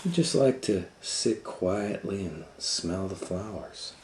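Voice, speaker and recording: Deep-voiced, unhurried man reading in echoey room. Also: big pitch swings. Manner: conversational, explaining and questioning listeners as he reads. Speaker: storytime reader and educator. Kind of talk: animated children's read-aloud with asides. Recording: echoey room, faint noise.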